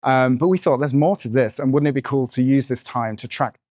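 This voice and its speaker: A man with a croaky voice, the author and podcast co-host being interviewed at a bookshop event, answering in a chatty way with lots of fillers. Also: medium pitch, a little fast, a little mellow, no echo, studio quality. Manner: chatty, with many fillers and hedges. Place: bookshop event, in front of an audience.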